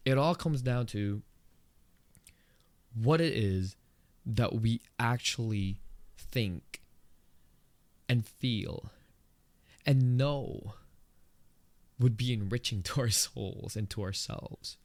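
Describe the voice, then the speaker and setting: An earnest man with a Filipino accent hosts a solo podcast, his voice sitting at an ordinary middle pitch. He talks in a conversational, reflective way and leaps upward for emphasis. The recording is close and dry, with almost no room sound. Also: slow pace, long pauses, darkish tone, dry recording. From Philippines. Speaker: podcast host.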